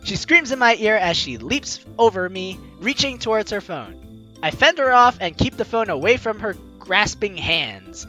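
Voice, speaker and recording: A woman's voice: medium pitch, big pitch swings, normal speed, bright, forceful tone, lo-fi sound, faint music behind. A woman hosting a game playthrough, reading the narration aloud expressively with playful, giggly commentary. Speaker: gaming video host, player reading aloud.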